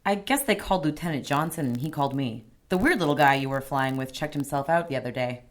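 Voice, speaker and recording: A woman's voice: deep voice, lively intonation, quick pace. A woman playing a down-to-earth, sceptical ally of a detective, blunt and wry, in a radio drama.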